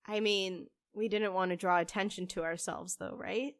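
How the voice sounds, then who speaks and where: A woman's voice: medium pitch, steady tone, moderate pace, no room sound, natural voice. A woman playing an earnest, hopeful young witch who runs a cafe, in a conversational audio drama style. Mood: upbeat.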